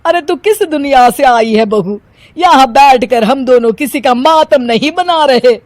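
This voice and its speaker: A woman playing a middle-aged, talkative Punjabi mother-in-law who scolds and complains theatrically in an animated story. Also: high voice, a little slow, no room sound. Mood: exasperated scolding. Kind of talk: animated Hindi story dialogue.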